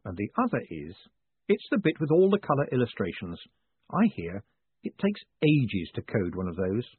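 A man acts out a confident storybook rogue who gives orders lightly. He is smooth-talking and assured, with a slightly husky edge. The audio is thin and phone-like. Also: lowish voice, wildly swooping pitch, normal speed, dry recording, exaggerated voice. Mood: breezy. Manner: breezy, assured. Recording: narrow phone-like sound.

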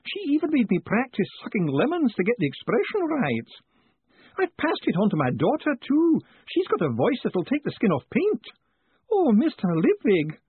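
A man acts out a wailing older woman in a storybook, high and sobbing. Her deferential, plaintive speech swoops widely. The recording is thin and phone-like. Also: wildly swooping pitch, brisk, husky, no room sound, full character voice. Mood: tearful wailing. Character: wailing grey-haired older woman, tearful, deferential post office worker.

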